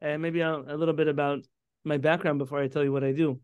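A man in his thirties from San Diego, a rabbi, telling his story in an animated way with Hebrew terms and frequent restarts. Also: fairly high pitch, fast, smooth, dry recording, phone-quality sound. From San Diego, California. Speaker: podcast guest, rabbi. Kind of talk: conversational interview storytelling.

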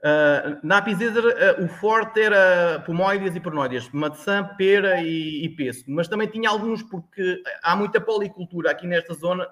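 A man's voice: sits high, fairly bright tone. Chatty portuguese man, many restarts. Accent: European Portuguese. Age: 40s. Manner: rambling, many restarts and fillers. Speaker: guest. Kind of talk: casual interview chat.

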